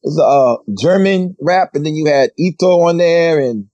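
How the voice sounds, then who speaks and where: A man's voice: a little high, normal speed, mellow, dry recording, muffled recording. A man hosting a podcast, talking loose and slangy, repeating phrases like a hip hop head. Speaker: podcast host. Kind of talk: casual hip hop chat.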